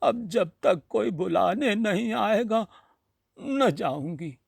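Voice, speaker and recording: A man's voice: high-pitched, expressive, takes it slowly, creaky, slightly rough, dry recording, studio quality, voice pushed up, exaggerated voice. A man voicing a frail old village woman, hungry and brooding, muttering plaintively to herself in a storybook reading. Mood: remorseful.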